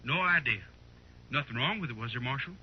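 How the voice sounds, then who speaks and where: An actor playing a talkative, folksy frontier gun shopkeeper in a 1950s radio western. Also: male voice, medium pitch, expressive, brisk, husky. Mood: curious. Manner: folksy drawling storekeeper talk. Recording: muffled old 1950s radio recording.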